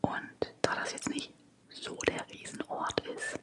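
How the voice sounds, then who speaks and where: ASMR whisperer. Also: measured pace. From Germany. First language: German. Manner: soft unvoiced whisper with frequent fillers. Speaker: ASMR streamer. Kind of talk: whispered ASMR live stream. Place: apartment at home. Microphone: close microphone.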